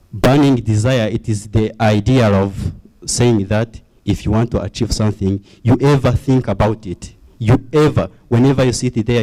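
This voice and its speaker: A man's voice: on the low side, mellow, low-quality recording. A man giving an earnest motivational address, repeating his key phrases. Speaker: forum speaker.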